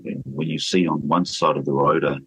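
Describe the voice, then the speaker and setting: A man's voice: a bass voice, steady tone, brisk, vocal fry, fairly bright tone, slightly rough, no room sound, rough recording. A man leading a church, speaking earnestly and steadily in a radio interview, with fillers and restarts. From New Zealand. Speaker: church leader.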